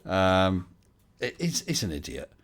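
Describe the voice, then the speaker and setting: A man's voice: sits low, sing-song, unhurried. A man hosting a live online chat stream, blunt and mocking, laughing often. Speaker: host.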